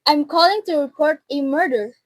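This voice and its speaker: A woman's voice: high voice, normal speed, no echo, close to an everyday voice. A young woman in her teens playing an imperious, anxious married lady in an amateur radio drama, insistent and indignant. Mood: agitated. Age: teenager.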